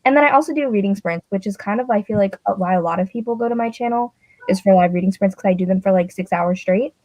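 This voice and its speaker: A woman's voice: mid-range voice, fast, warm tone, no room sound. A young woman, a book vlogger, chatting casually in a rambling, self-deprecating way with many fillers. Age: young adult. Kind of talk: casual chat.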